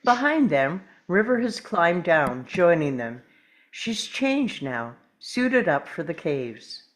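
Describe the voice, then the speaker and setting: A woman narrating scripted stage directions at a table read, measured, with dramatic pauses. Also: on the low side, sing-song.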